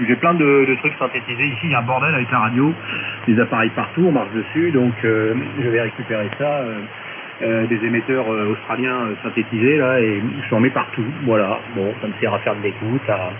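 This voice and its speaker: A man's voice: mid-range voice, brisk. A man in a casual, technical amateur radio chat, colloquial and matter-of-fact with hesitations, over a narrow, noisy shortwave link.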